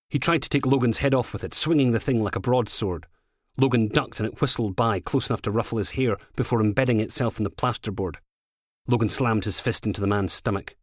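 A Scottish man narrates a crime novel in a low voice. The recording is dry and close, with no room sound around the scripted reading. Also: no room sound. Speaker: author reading own audiobook.

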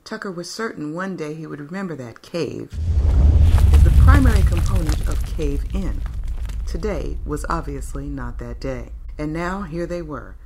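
Woman narrator w/ a low voice, reading a story out dramatically. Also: expressive, normal speed, background noise. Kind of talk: scripted dramatic narration of fan fiction.

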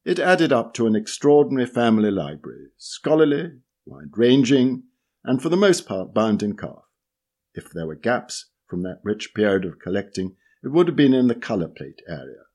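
Husky man reading with lively intonation. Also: on the low side. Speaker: reader of a magazine article. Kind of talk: measured read-aloud narration.

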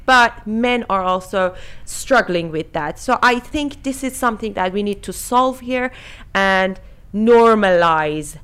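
A woman in her thirties co-hosts a casual panel podcast. Her voice sits at an average pitch. She was born in Iran and has lived in several countries, and she talks in an informal, conversational way. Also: fairly bright tone, clean tone. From Iran, lived in many countries. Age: 30s. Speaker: podcast co-host.

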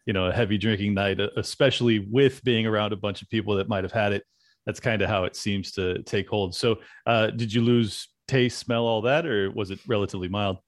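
A man's voice: fairly low pitch, fairly even intonation, fairly quick, no echo, studio quality. A man hosting a podcast interview, friendly and joking with his guest. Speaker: podcast host. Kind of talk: casual interview chat.

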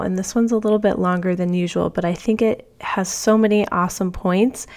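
A woman in her thirties or forties hosting her own podcast, talking in a chatty, upbeat way with fillers, her voice nasal from a cold. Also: medium pitch. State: stuffy nose from a cold. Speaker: podcast host. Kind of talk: casual solo podcast monologue.

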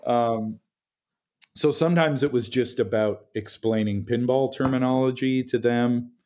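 A man with a deep voice, a game designer talking casually in an interview, relaxed and full of fillers. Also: a little slow. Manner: relaxed, chatty, many fillers and restarts.

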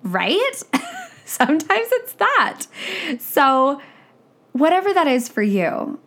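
A woman's voice: sits high, wildly swooping pitch, slow pace, a bit bright. Young woman w a stuffy nose from a cold, chatty podcast coach, says like and right a lot. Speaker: business coach. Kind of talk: casual podcast monologue.

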